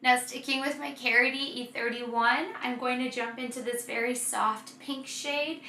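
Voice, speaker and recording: Woman doing a makeup tutorial, kinda hoarse, super gushy and bright, echoey room. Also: a little high, steady tone, normal speed, slight background noise. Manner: gushing, enthusiastic. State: slightly hoarse from overuse. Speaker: beauty vlogger.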